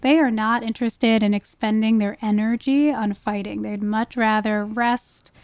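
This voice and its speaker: Higher-pitched woman explaining in an interview. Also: fairly even intonation, normal speed, a little mellow, no echo. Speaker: guest, wildlife ecologist. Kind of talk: conversational podcast interview.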